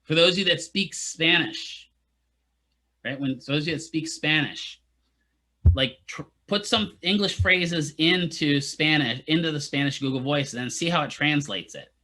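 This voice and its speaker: A man's voice: fairly high pitch, moderate pace, sharp tone, poor audio. A man teaching physical therapy students in a casual lecture, often checking in with "right?" and making joking asides. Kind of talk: classroom lecture.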